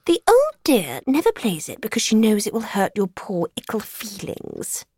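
A woman's voice: mid-range voice, huge pitch swings, normal speed, darkish tone, slightly rough, dry recording, studio quality. Smug, purring female cat, voiced by a woman. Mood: smug, mocking. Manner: purring, condescending, theatrical drawl. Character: smug, sneering female pet cat, haughty and taunting. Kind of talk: dramatised children's audiobook.

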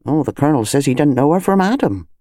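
A woman performs a village police constable for an audiobook. He is gruff and officious, repeating himself haltingly and turning deferential to his betters, with a very lively pitch and a mellow, close dry sound. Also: no room sound, close to an everyday voice. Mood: astonished, confiding. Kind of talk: audiobook character dialogue.

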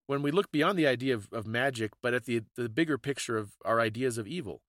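A man's voice: mid-range voice, animated, fast talker, a bit bright, no echo, clean studio sound. A man co-hosting a podcast, explaining things in a casual, conversational way with thoughtful, slightly hesitant phrasing. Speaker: podcast co-host.

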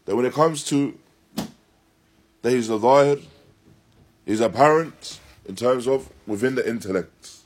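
Man, slightly husky mid-pitched voice, Islamic teacher, deliberate halting phrasing, emphatic restatement, Arabic recitation. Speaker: religious teacher giving a lesson. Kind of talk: Islamic lecture explaining a text, with Arabic recitation. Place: live-streamed lesson at an Islamic centre.

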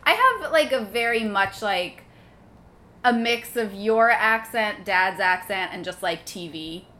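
A woman's voice: mid-range voice, animated, slow, bright, forceful tone. A young woman from Manhattan with a neutral American accent, co-hosting a casual chat with her mother and talking loosely with many fillers. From Manhattan, New York. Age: young adult. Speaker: podcast co-host. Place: apartment.

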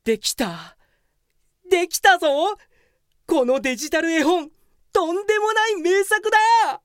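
A woman's voice: very high voice, expressive, measured pace, no room sound, clean studio sound. A woman acting as an earnest, self-absorbed, dramatic male office worker with big dreams in a comedic radio drama. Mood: grandly elated. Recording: voice over clear background music.

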